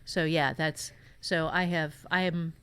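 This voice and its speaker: A woman's voice: low-pitched, level tone, unhurried, fairly bright tone, smooth. A woman hosting a casual talk on writing, giving advice earnestly and thinking aloud with emphatic repetition. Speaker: podcast host and novelist.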